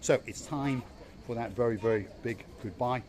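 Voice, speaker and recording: A man's voice: medium pitch, moderate pace, darkish tone. A man hosting a casual walking-tour vlog, chatty and playful, teasing his companion as he films outdoors. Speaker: vlog host. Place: outdoor holiday resort. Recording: faint outdoor background noise.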